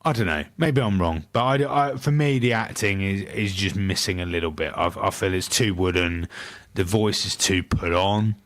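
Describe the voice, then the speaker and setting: A man's voice: sits low, moderate pace, hardly any pauses. A man co-hosting a podcast, talking hesitantly with many restarts in casual chat. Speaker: podcast co-host.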